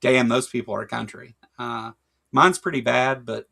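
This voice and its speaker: A man's voice: mid-range voice, fairly even intonation, normal speed, vocal fry, sharp tone. A man with a Kentucky accent co-hosting a casual livestream chat, rambling with lots of fillers and jokes. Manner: rambling, filler-heavy, joking. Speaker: podcast co-host.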